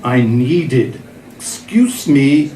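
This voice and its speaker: A man's voice: mid-range voice, animated, very slow, warm tone, a little hiss or hum. A middle-aged man, a writer and former cartoonist, reading his humorous column aloud at a literary event in a wry, self-deprecating way. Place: literary festival venue. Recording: echoey room.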